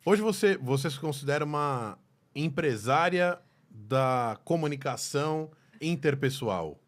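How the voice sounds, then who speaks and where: A man's voice: a little high, lively intonation, measured pace. A man hosting a podcast interview, teasing and playful, often stumbling and restarting his sentences. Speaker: podcast host. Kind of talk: casual interview chat.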